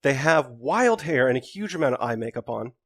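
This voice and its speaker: A man's voice: mid-range voice, very animated, fairly quick. A man narrating a tabletop game as game master in a casual, improvised, jokey way. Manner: jokey, informal.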